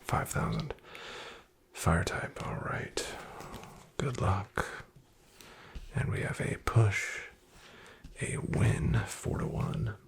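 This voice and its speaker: Man playing a whispery, gravelly back-alley dealer. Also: lowish voice, drawn-out words, heavily acted. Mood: calm dealing. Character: shady, smooth back-alley illegal casino dealer, male, wryly menacing. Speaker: card game dealer in a roleplay. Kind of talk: soft-spoken ASMR roleplay.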